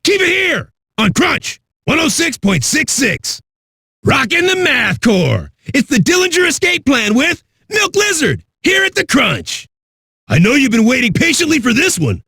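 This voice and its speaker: An actor playing a cocky, crude, manic metal radio DJ with a raspy, shouty delivery. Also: male voice, very high-pitched, no room sound, studio quality, heavily acted. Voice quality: raspy, gravelly. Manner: brash, profane, shouty. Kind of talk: hard rock radio DJ patter.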